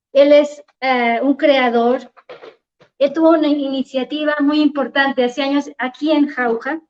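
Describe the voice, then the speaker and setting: High-voiced woman hosting. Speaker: event host. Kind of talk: introducing a speaker. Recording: dull lo-fi event recording.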